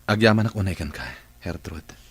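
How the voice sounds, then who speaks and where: A man playing a kindly, grateful master of a mansion in an audio drama, husky-voiced, courteous and quietly authoritative. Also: sits low, fairly quick, no room sound.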